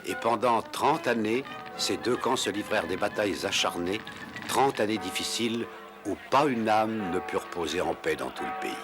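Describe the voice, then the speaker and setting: A man reading scripted storybook narration for an animated historical adventure film. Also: on the low side, normal speed, close to an everyday voice. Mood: storytelling. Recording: music under the voice.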